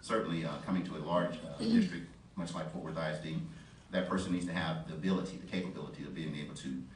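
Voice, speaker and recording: Deep-voiced halting man, distant and echoey. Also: animated. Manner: halting, frequent pauses mid-phrase. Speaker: school board candidate at a forum. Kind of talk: formal unscripted forum answer. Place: meeting board room. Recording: echoey board room, distant mic, background noise.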